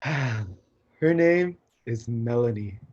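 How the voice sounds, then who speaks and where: A man's voice: medium pitch, lively intonation, very slow, a little mellow, muffled recording. Man playing an earnest, self-doubting young god. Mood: wistful. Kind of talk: live staged reading of a play.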